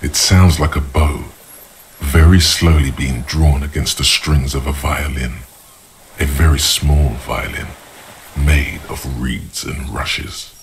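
An actor playing a grave, observant inner-voice narrator in a video game, speaking in measured, portentous literary prose. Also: male voice, extremely low pitch, fairly even intonation, slight background noise, poor audio. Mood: hushed wonder, ominous.

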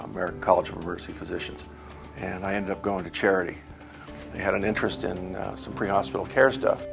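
Gravelly low-voiced man telling a story. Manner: rambling, anecdotal, with many fillers. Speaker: emergency physician recalling his training. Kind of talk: documentary interview storytelling.

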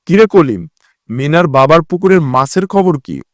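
A man's voice: on the high side, lively intonation, moderate pace, dry recording, studio quality, lightly acted. A man playing a greedy, vain village landlord in a cartoon, bossy with servants and wheedling when cornered. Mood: imperious. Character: greedy, scheming village landlord, vain about his honour. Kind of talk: cartoon voice acting.

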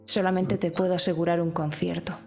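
A woman playing a tabletop roleplaying game, describing a gift slowly and gently in character. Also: on the low side, little pitch movement. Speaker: player. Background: faint music.

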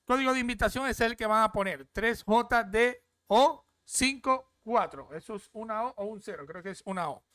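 A young man chats his way through a screen-share tour of an app from a desk at home. His voice is smooth and clean, and it sounds dry and close. He keeps checking in with his viewers as he goes. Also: high voice, normal speed, few pauses, sharp tone, no echo. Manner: chatty, repeats "¿ok?" often. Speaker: crypto app reviewer. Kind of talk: casual screen-share walkthrough.

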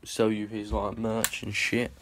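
A man's voice: on the low side, completely flat delivery, measured pace, background noise. A young man, rambling and swearing in a casual vlog filmed on a phone held at arm's length. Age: young adult. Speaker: vlogger. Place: small indoor rooms and a hallway.

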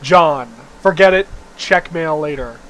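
A man's voice: a little high, a little slow, a bit bright. A young man giving an amateur, theatrical read-aloud of a story, with the odd stumble. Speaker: reader of a webcomic read-aloud.

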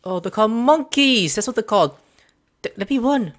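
A young adult man commentating casually as he plays a game. His voice is fairly light for a man and swings widely in pitch, recorded indoors in a small room. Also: lively intonation, a little fast. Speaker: gaming video host. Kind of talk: casual gameplay commentary, reading dialogue choices aloud.

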